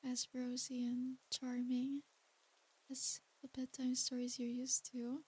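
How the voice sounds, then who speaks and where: A woman playing an ancient, tender and seductive succubus demon in a soft ASMR bedtime roleplay. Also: high-pitched, monotone, full character voice. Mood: solemn, wistful. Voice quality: soft, breathy. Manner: slow, intimate, soothing bedtime delivery.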